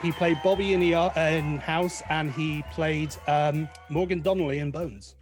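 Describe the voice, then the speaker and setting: English man, guest quizmaster, fairly high voice, casual banter, English accent, faint music. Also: steady tone, moderate pace. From England. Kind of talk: casual quiz hosting with banter.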